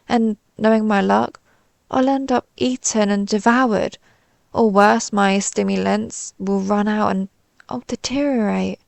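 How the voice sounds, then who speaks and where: A woman's voice: mid-range voice, vocal fry, darkish tone, dry recording. A woman playing a timid, suspicious alien pizza slice who rambles hesitantly and puts herself down in a scripted audio roleplay. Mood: hurt, resigned. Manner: hesitant, rambling, self-deprecating.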